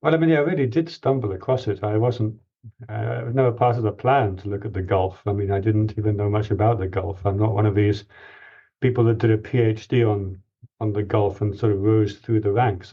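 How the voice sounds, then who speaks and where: A man in his forties from Greece, a guest expert giving measured, explanatory interview answers with restarts. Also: fairly low pitch, fairly even intonation, lo-fi sound.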